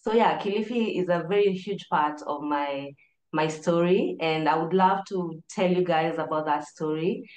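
A woman's voice: sits low, normal speed, darkish tone, slight background noise. A woman in her thirties with a Kenyan accent, casually introducing herself on a video call. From Nairobi, Kenya. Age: 30s. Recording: roomy, echoing video-call sound.

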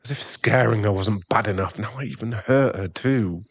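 A man's voice: lowish voice, normal speed, slightly rough. A man playing an irritable, defensive, hard-drinking werewolf boyfriend and expectant father in an ASMR roleplay audio drama, given to sighs and snappish remarks. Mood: panicked guilt.

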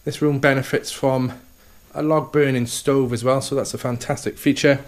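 A man's voice: mid-range voice, flat delivery, moderate pace. A man, an estate agent, giving an upbeat walkthrough tour of a house, full of sales patter and repeated "okay" and "fantastic".

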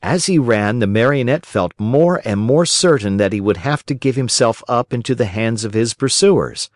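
A man reads a children's story in a gentle, expressive manner. His pitch moves in lively swings as he narrates, and the sound is close and dry. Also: normal speed, no echo. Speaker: audiobook narrator.

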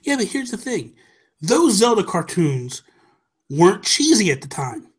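A man's voice: sits high, very animated, a little slow, slightly rough. A man in his thirties chatting casually as a podcast host, emphatic and opinionated, on a close desk microphone. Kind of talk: animated casual chat about games and films. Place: small home room, indoors. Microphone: close desk microphone with headphones.

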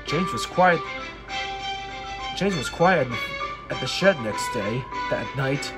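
A man reading a children's story aloud, often haltingly, repeating and correcting phrases. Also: medium pitch, expressive, loud music behind the voice. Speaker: storybook reader.

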